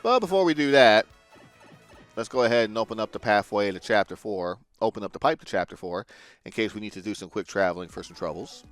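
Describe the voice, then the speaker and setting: A man's voice: on the low side, a little fast. A man casually commenting while playing a game, laid-back with crude jokes and mumbled asides. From Puerto Rico. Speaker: gaming video host. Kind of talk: casual let's-play commentary. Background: game music.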